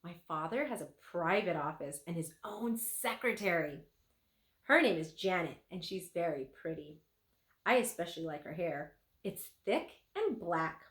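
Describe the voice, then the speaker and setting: A woman reading a children's book aloud as a teacher would, with an expressive, animated delivery. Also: on the low side, moderate pace. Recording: roomy, echoing room sound.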